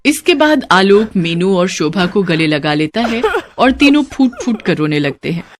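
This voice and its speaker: A woman's voice: on the low side, sing-song, moderate pace, noisy background. A woman narrating a scripted moral bedtime story as a storyteller.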